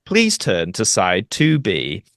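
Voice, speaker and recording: A man's voice: lowish voice, wildly swooping pitch, slow pace, a bit bright. A young man talking casually as a podcast guest, chatty and full of anecdote. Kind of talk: casual interview chat, reminiscing.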